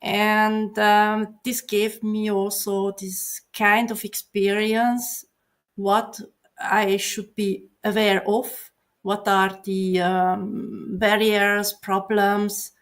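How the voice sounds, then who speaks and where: Lady guest, slow and kinda flat, lots of uh and um. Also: mid-range voice. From Hungary. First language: Hungarian. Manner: halting, many filler pauses. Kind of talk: casual interview answers.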